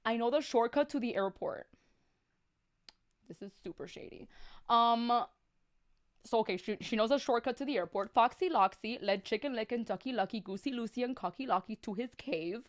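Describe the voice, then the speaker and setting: A woman storyteller hosting a comic live reading. Her voice is on the high side. She mixes narration with sassy, slangy, exasperated asides. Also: brisk. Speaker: storyteller, live stream host.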